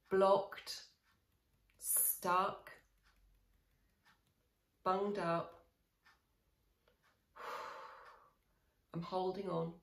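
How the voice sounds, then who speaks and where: Slow, gravelly woman guiding tapping. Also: fairly low pitch. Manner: slow, soothing, repetitive affirmations with sighs. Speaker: EFT tapping coach. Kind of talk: guided tapping session, repeated phrases. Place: small room indoors. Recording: echoey small room.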